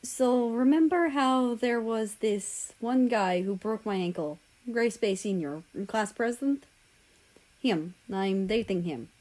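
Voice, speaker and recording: A woman's voice: on the high side, slow, darkish tone, low-quality recording. A woman voicing an earnest, rambling teenage boy who confides hesitantly in his friends in a story. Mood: nervous, confessing.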